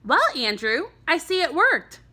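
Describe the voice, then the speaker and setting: A kindly, firm schoolteacher in a storybook, acted out by a woman reading aloud. She coaxes and instructs in measured phrases, with a bright, very animated voice. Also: sits high, voice pushed up, exaggerated voice. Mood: warm, reassuring. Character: composed female schoolteacher, kindly but firm.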